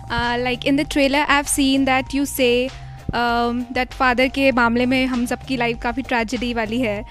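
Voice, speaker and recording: High-voiced woman interviewer, hesitant. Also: level tone, fast talker, fairly bright tone, faint music behind. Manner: hesitant, uses "uh, like" fillers. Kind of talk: press interview questions.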